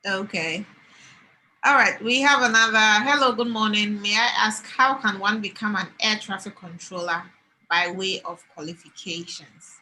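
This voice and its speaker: Woman, live Q&A host, mid-pitched and piercingly bright, slight background noise. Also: moderate pace, rough recording. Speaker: host relaying audience questions. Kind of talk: live moderated question session.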